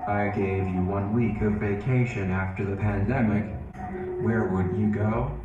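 A man voicing a gentle male AI being who lives on a stage, calmly guiding an audience with instructions. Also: fairly low pitch, lo-fi sound, natural voice. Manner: slow, calm, guiding instructions. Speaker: interactive stage character. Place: theater stage. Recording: echoey stage sound, far from mic, loud music.

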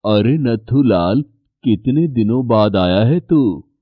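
A man voicing a gentle, fatherly middle-aged bangle maker in a cartoon story. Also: mid-range voice, slow pace, no echo, highs cut off. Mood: warm. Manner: calm, fatherly.

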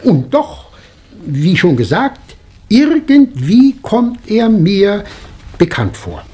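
An actor playing an inquisitive doctor and amateur sleuth in a 1960s German radio play. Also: male voice, high voice, wildly swooping pitch, slow, warm tone, husky, heavily acted. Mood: musing. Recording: old vinyl record with surface noise.

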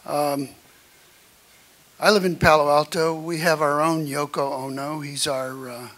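An older man in the audience asking a question at a lecture, speaking haltingly with many pauses and fillers, at a stand mic in a conference room. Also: fairly high pitch, steady tone, a bit bright. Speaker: audience member. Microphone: floor-standing mic.

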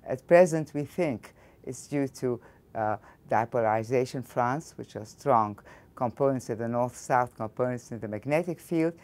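A woman's voice: very deep voice, normal speed, darkish tone. Egyptian-accented woman explaining her research. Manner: thoughtful, with frequent restarts. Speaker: physics professor. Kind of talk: interview answers. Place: studio interview against a backdrop.

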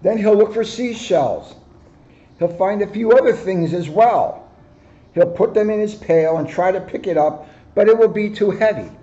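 A man in his sixties, a police officer, reading a picture book aloud with joking asides, in a high-pitched voice. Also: normal speed, mellow. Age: 60s. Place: office, indoors. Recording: dull, lo-fi camera microphone.

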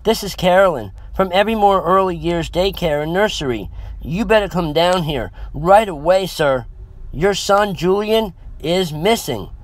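Worried woman from a nursery, voiced by a male narrator, polite, formal and urgent, raised pitch, audio story. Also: expressive, measured pace.

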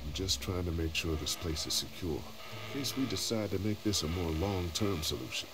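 A man acting a protective survivor in a video game. He has a low voice and speaks in a gentle, measured, reassuring way. There is clear ambient rumble behind him. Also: close to an everyday voice. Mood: steady, diplomatic.

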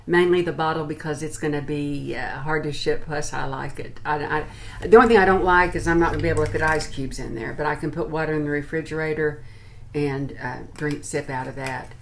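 A woman's voice: low-pitched, fairly even intonation, fairly quick, vocal fry. An American woman in her sixties chatting informally to camera as she reviews products. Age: 60s. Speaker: beauty and lifestyle vlogger. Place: small furnished room at home, indoors.

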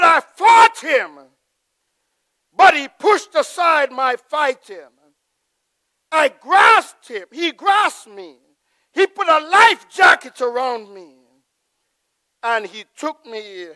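A middle-aged man preaches from a church pulpit in a strikingly high, bright voice, delivered at a very slow, deliberate pace. His sermon swells into loud, emphatic peaks before falling to a hushed, prayerful close. The sound is dry and close to the pulpit mic. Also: very animated, no echo. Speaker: pastor. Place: large church sanctuary with choir behind.

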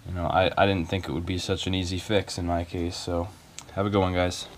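A man's voice: deep voice, level tone, a little fast, noisy background. A man talking casually and a little hesitantly through a hands-on repair tutorial, unscripted and informal. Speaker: video maker giving a repair tutorial. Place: small cluttered carpeted room indoors.

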